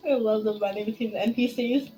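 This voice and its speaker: A woman with a fairly high voice chats casually over gameplay. She is playful and easily sidetracked, jumping between short remarks, with faint music behind her. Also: fairly even intonation, quick pace, mellow, poor audio. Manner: playful, giggly, easily distracted. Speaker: game streamer.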